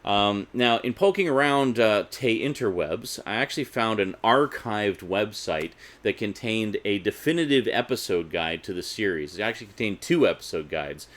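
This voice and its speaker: A man with a fairly low voice talks like a video host showing off a collection. His delivery is unscripted and informal, full of chatty asides to the viewer. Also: lively intonation, normal speed, few pauses, a bit bright. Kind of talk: casual unscripted collector vlog.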